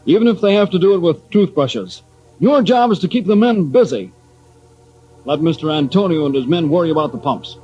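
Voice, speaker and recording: A man playing a stern veteran submarine captain in old-time radio drama, giving authoritative orders in a rough, husky voice. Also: a little high, lively intonation, brisk, warm tone, soft background music, close to an everyday voice. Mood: firm, authoritative. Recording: dull lo-fi old radio recording.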